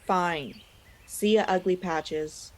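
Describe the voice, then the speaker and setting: A woman's voice: lowish voice, slow pace. A woman voicing a rude young female mouse in an audio drama, mocking and sulky, with a taunting drawl. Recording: faint outdoor ambience with birdsong.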